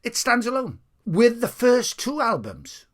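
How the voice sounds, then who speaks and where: A man's voice: very high-pitched, huge pitch swings, measured pace, fairly bright tone. A Welsh man rambling off the cuff to the viewer about his favourite music, chatty and theatrical, at times stepping back from the mic. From Wales. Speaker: music fan vlogger.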